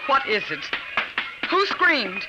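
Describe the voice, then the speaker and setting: An actress playing an affectionate but firm, anxious suburban mother in an old-time American radio drama, expressive and theatrical. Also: a little high, takes it slowly, over loud music, poor audio. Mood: stern.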